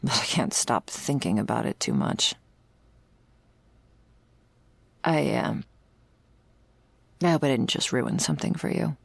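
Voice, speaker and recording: Woman acting a very gravelly, sardonic vampire's servant. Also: rumbling low, normal speed, no room sound, heavily acted. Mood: sardonic, disgusted. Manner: dry, deadpan, sarcastic, foul-mouthed. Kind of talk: intimate ASMR audio roleplay. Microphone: close, dry microphone.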